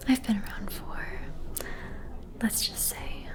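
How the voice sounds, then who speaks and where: Casting: actress for an ageless female vampire socialite, haughty and seductive, slow coy whispering, ASMR roleplay. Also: natural voice. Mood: coy, welcoming. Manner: breathy whisper with coy, condescending endearments.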